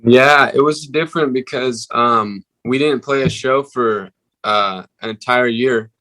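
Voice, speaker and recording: A young male musician with a deep voice, answering interview questions haltingly with many fillers. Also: bright, forceful tone, dry recording, poor audio. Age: young adult. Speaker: musician guest.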